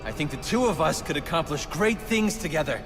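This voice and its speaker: A man's voice: a little high, animated, brisk. A young man playing an idealistic, grandiose anarchist bomber in a video game, speechifying with theatrical fervor. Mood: grandiose, eager. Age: young adult. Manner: impassioned, grandiose oratory. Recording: long reverb with loud music behind.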